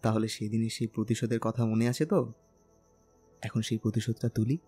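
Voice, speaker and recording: A man's voice: lowish voice, level tone, fairly quick, warm tone, husky. A man playing a tender, teasing young bridegroom in a romantic audio drama, speaking earnestly and persuasively. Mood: teasing, affectionate. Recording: music bed under the voice.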